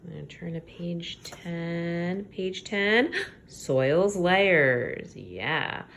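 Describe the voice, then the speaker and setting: A woman teacher reading aloud to young children, expressive, pausing to explain things simply and check in with her listeners. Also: lowish voice, gravelly, a little hiss or hum. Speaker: elementary school teacher.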